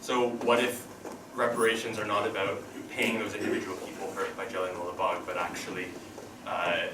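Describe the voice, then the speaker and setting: A man's voice: sits low, level tone, a little fast. A man speaking as a seminar panelist, thoughtful and hesitant, restarting sentences and adding "right?" as he goes. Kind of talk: academic panel discussion. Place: university seminar room. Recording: roomy echo, distant mic, noisy background.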